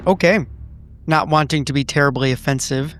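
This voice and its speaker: A man's voice: fairly high pitch, fairly quick, fairly bright tone. A man taking part as a player in a tabletop roleplaying game, casually narrating what his character does.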